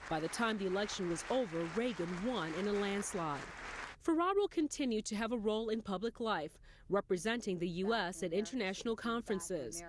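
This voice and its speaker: A woman's voice: mid-range voice, animated, brisk, a crowd in the background. An American woman working as a TV news anchor, reading scripted news narration. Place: TV news studio.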